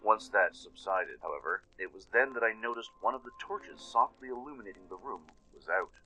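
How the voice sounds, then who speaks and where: A man narrating a dramatic first-person story in an expressive, suspenseful manner. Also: fairly low pitch, a little fast, rough recording. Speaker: narrator and producer of a fan audio drama. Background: music.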